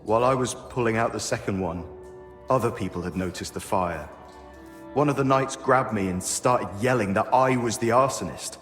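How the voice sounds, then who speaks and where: A man's voice: on the low side, normal speed, fairly bright tone, natural voice. An actor playing a grumpy, evasive man in a video game cutscene, with a weary, defensive and grudging delivery. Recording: echoey stone-room reverb, music behind.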